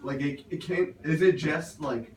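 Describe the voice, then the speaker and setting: A man's voice: a little high, a crowd in the background. Guy asking a question from the floor, keeps restarting, echoey and far off, noisy. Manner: halting, searching phrasing. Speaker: audience questioner. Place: bookstore event space. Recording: distant, echoey, noisy room.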